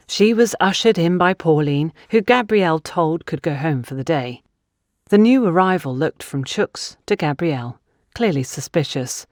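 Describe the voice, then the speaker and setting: A woman's voice: sits low, darkish tone, dry recording, studio quality. A woman narrating a scripted audio mystery drama as storyteller.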